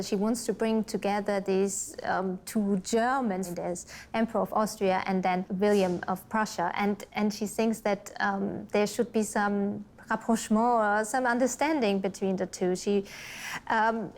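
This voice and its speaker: A woman's voice: medium pitch, fairly even intonation. Hesitant, thoughtful woman in interview. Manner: hesitant, thoughtful, halting repetitions. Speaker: expert interviewee. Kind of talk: unscripted interview discussion.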